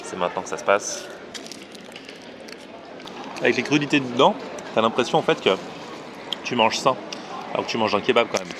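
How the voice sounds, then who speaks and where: A man's voice: medium pitch, lively intonation, normal speed, pauses often, noisy background. A man talking casually and informally to camera as a vlog host, explaining things as he goes. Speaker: food vlogger and host. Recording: loud music under the voice.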